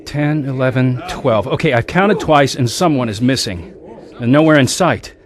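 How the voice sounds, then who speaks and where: A man's voice: mid-range voice, moderate pace, crowd noise, close to an everyday voice. An actor playing a confident ski instructor and adventurer who tells his own life story in a radio drama. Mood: alarmed, decisive. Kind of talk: radio drama acting and first-person narration.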